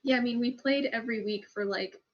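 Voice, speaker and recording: A woman's voice: on the high side, fairly quick. A woman, a tabletop game player, chatting eagerly and upbeat after a session. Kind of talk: casual post-game chat. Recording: muffled audio with faint noise.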